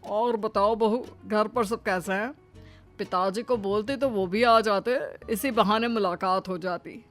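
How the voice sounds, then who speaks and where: A woman's voice: medium pitch, measured pace, soft background music, close to an everyday voice. A woman voicing a bossy middle-aged father-in-law in a cartoon story, with a commanding, teasing manner. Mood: sly, chatty. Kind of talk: cartoon moral-story acting.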